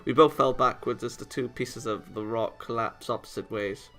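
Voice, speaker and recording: A man's voice: mid-range voice, steady tone, moderate pace, a bit bright, faint music behind. A man reading a visual novel aloud in a theatrical storytelling manner, occasionally stumbling over the text. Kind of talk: expressive read-aloud narration of a game.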